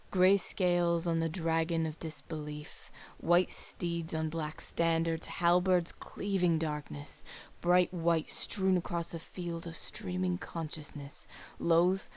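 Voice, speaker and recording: A woman giving a dramatic, solemn poetry reading, measured, with phrases that often trail into breath. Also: deep voice, vocal fry, rough recording. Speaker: poetry reader.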